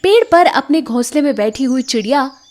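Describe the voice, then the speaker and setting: A woman storyteller reading scripted children's moral tales in an expressive way. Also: high-pitched, moderate pace, no echo.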